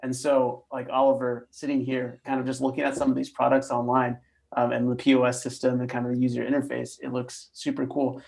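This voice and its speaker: Casual gravelly-voiced male co-host. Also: mid-range voice, completely flat delivery, brisk, darkish tone, rough recording. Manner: casual, uses "like" a lot. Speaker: podcast co-host. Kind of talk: casual podcast chat.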